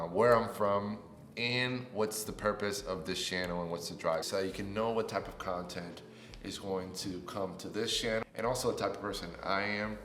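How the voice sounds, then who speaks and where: A man in his twenties from Puerto Rico, a native Spanish speaker, giving an upbeat, chatty introduction on his new channel. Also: on the low side. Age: 20s. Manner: upbeat and chatty, rising with excitement. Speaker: YouTuber. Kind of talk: casual vlog self-introduction. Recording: echoey room with long reverb.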